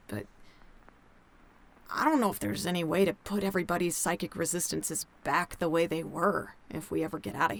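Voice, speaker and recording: An actress playing a tired, blunt scientist in an audio drama, talking in a terse, deadpan, conversational way. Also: female voice, mid-range voice, gravelly. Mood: uneasy, flat. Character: tired, blunt female scientist doctor, dryly uneasy.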